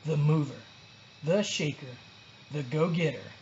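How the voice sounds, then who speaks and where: A storyteller narrating a children's book aloud in an expressive read-along style. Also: mid-range voice, unhurried, muffled recording, slight background noise.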